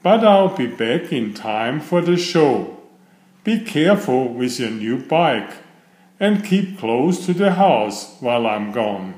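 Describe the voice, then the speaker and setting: A man's voice: mid-range voice, big pitch swings, takes it slowly, a little mellow. A man voicing a kindly, cautioning guardian in a children's storybook, fond and instructive, with a roomy sound. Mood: gently cautioning.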